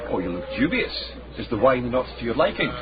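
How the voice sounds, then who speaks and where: A man's voice: mid-range voice, sing-song, fast talker, close to an everyday voice. A man with a Scottish accent acting a dry, roguish tavern drinker with rebellious wit in a radio drama. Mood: teasing. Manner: sly, teasing. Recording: dull radio recording, loud tavern crowd.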